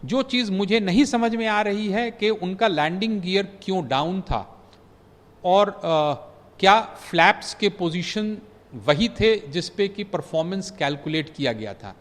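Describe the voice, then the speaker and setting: A man with a fairly high voice speaks slowly and deliberately as a former pilot explaining a news story. He pauses often, filling the gaps with hesitations, and his voice carries a long reverb as if in a large room. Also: expressive. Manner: measured, halting, many fillers, mixes English phrases. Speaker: former pilot giving expert analysis. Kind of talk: news commentary interview.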